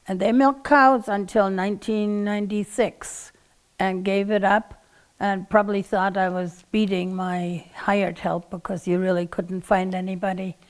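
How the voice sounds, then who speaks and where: An elderly woman in her eighties, a native German speaker with a German accent, giving a prepared talk in a measured, formal manner. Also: mid-range voice, smooth. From Czechoslovakia and Germany. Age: 80s. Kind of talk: prepared talk, personal recollection and presentation.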